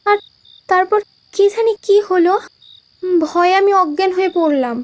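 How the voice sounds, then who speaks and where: A high-voiced invisible girl of about twenty in a cartoon ghost romance. She is gentle, shy and wistful, and talks in an intimate, storytelling way. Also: normal speed, no room sound. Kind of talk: Bengali animated love ghost story dialogue.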